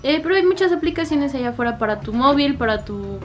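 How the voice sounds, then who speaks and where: A woman's voice: high voice, lively intonation, fast talker, faint music behind. A woman in her twenties with a Mexican accent, chatting directly to the viewer in a casual vlog about how she studies languages. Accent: Mexican Spanish. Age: 20s. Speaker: language-learning YouTuber. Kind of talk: casual explanatory vlog talk.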